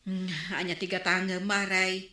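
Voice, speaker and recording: A woman's voice: medium pitch, completely flat delivery, moderate pace, pushed and bright, natural voice. An actress playing a chatty female neighbor in a comedy drama who asks about the noise. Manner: chatty, questioning. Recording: echoey room recording.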